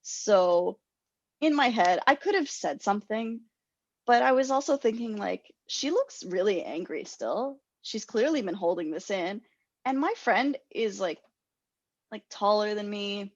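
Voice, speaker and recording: A woman's voice: medium pitch, animated, a little slow, no room sound. Woman, young adult, casual chat, laughs often, dull dry recording. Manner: rambling, self-deprecating, laughs a lot. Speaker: podcast co-host.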